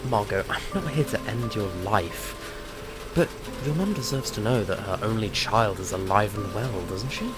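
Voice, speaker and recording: An actor playing a brash, teasing monster hunter and comic book nerd in an audio drama. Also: male voice, on the low side, normal speed, over loud music, natural voice. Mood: probing.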